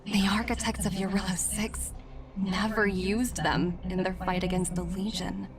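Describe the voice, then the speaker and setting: Woman playing an unhurried, confident corporate agent. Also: medium pitch, little pitch movement, close to an everyday voice. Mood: curious, surprised. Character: confident young female corporate agent, shrewd and playful. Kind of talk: video game voice acting. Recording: game music behind. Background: game music.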